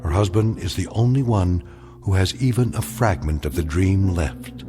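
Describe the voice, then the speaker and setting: A man giving solemn, measured scripted narration for a radio drama. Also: sits low, animated. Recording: music behind the narration.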